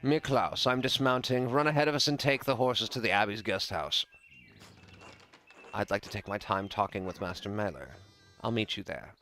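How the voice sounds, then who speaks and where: A man's voice: on the low side, normal speed, bright, forceful tone, a little hiss or hum. A man voicing a jovial, entitled nobleman from a story game, with a grand, effusive manner and a gossipy streak. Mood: commanding.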